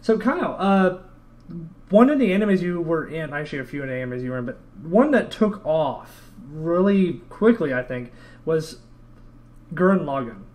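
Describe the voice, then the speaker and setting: A man's voice: fairly high pitch, lively intonation, normal speed, darkish tone. A man hosting a casual podcast interview, chatty and a bit hesitant, talking like a keen fan. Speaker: podcast host.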